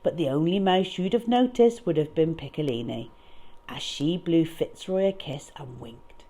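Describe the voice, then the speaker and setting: A woman storyteller reading aloud to children in a dramatic, expressive way, leaning on key words. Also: sits low, moderate pace.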